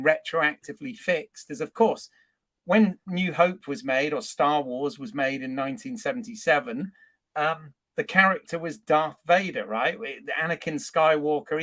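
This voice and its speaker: A chatty man hosting a podcast, his voice sitting a little high. He talks easily and laughs off his own nitpicks. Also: fairly bright tone. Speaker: podcast host. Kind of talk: casual podcast discussion.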